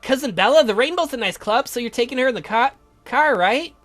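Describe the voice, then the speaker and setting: A woman's voice: a little high, animated, brisk, a bit bright, faint music behind. A woman playing eager, chatty young girl cousins in a horror visual novel. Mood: urgent, chatty. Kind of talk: performed visual novel reading.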